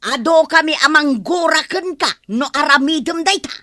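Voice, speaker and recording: A woman's voice: high-pitched, normal speed, pushed and bright, no echo, clean studio sound. A woman playing an indignant villager who scolds, in an Ilocano comedy radio drama, with an Ilocano accent.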